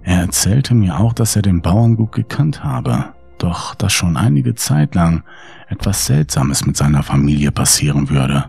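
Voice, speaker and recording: Low, gravelly man narrating horror. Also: big pitch swings, unhurried, warm tone, soft background music. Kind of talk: scripted first-person horror narration, audiobook reading.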